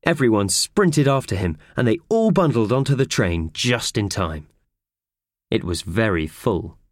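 Animated male children's audiobook narrator. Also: lowish voice, a little slow, no echo, clean studio sound. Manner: expressive, animated storytelling. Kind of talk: scripted children's story narration.

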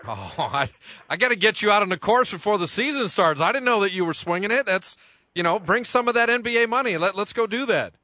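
A man's voice: high-pitched, expressive, a little fast, bright, forceful tone, dry recording. A man hosting a sports radio interview in a studio, chatty and joking with lots of fillers.

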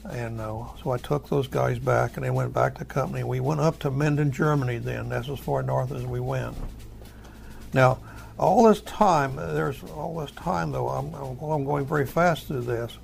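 A man's voice: mid-range voice, normal speed, slight background noise. An elderly American man, a war veteran telling his memories in a folksy, rambling way, searching for words. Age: older, over 70. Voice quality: gravelly. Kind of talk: oral history storytelling.

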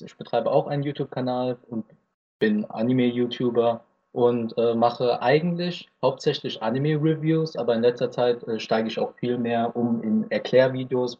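Teen man from Thailand, podcast guest, mellow and husky, lo-fi recording. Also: mid-range voice. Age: teens. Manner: hesitant, many fillers. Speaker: podcast guest, anime YouTuber. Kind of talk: casual podcast chat.

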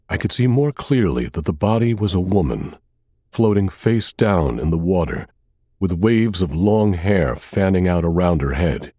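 A man reading a scripted first-person thriller as an audiobook narrator, with a measured, dramatic delivery. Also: low voice, a little mellow, breathy edge, no room sound, studio quality.

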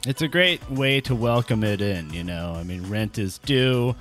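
A man with a fairly low voice chats casually as a comedy podcast co-host. His delivery is loose, joking banter, with some music playing behind him. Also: lively intonation, normal speed, a bit bright.

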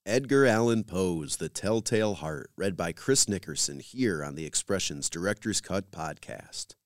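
Lively-pitched man hosting a podcast, dry sound. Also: normal speed, dry recording. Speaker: podcast host. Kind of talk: scripted podcast host narration.

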